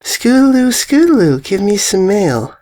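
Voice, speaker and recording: A man's voice: sits high, sing-song, measured pace, dark tone, voice pushed up. A man voicing a ditzy grown mare from a rhyming storybook who pleads in singsong calls. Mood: eager pleading.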